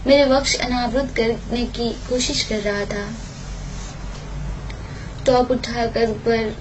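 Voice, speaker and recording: A woman's voice: fairly high pitch, darkish tone. A woman reading a first-person erotic audio story aloud, occasionally stumbling and repeating words, on a noisy home-style recording.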